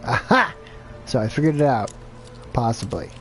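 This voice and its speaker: A man's voice: medium pitch, big pitch swings, moderate pace, a bit bright, soft background music. A man streaming a video game live, chatting casually and thinking aloud in a rambling, self-deprecating way. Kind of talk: casual gameplay commentary.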